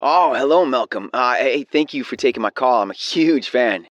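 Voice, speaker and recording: An actor playing an earnest, excitable man phoning a radio show, hesitant and stumbling over his words. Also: medium pitch, normal speed, dry recording, lo-fi sound, natural voice. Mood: earnest, eager. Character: earnest, excitable male radio caller, awed believer. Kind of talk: naturalistic audio drama acting.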